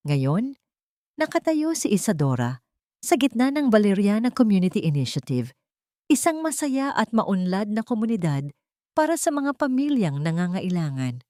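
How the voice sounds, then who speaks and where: A woman narrating a scripted romantic drama story in a measured, sentimental way. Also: fairly low pitch, dry recording, clean studio sound.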